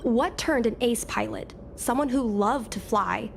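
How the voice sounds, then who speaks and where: A woman's voice: a little high, measured pace, creaky, slight background noise. A woman voicing an earnest, wistful young girl in a video game, speaking articulately. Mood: troubled. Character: earnest young girl, a pilot's daughter longing to fly. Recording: game music behind the voice.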